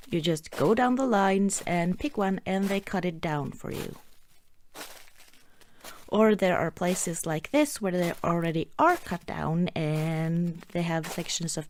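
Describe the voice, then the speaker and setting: A woman with a Norwegian accent playing a cheerful, affectionate girlfriend in an ASMR roleplay, soft-spoken, chatty and a little hesitant. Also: lowish voice, a little hiss or hum.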